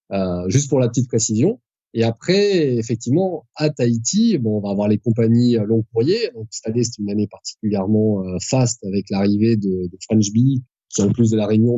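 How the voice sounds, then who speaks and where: A man's voice: fairly low pitch, a little fast, warm tone, no room sound, dull audio. A man in his forties, a pilot guest on a podcast, explaining at length with frequent hesitations and restarts. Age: 40s. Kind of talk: conversational podcast interview.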